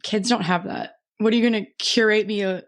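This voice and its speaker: Expressive woman chatting on a podcast. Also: medium pitch, brisk. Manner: expressive, slangy, reactive. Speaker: podcast co-host. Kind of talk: casual chat.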